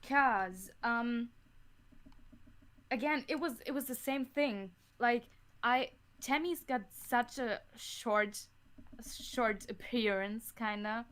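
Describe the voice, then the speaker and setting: A woman's voice: fairly high pitch, slow, a bit bright, clean tone. A young woman chatting casually as a podcast guest, hesitant and rambling in how she talks. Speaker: podcast guest, creator of an audio drama. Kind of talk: casual interview chat.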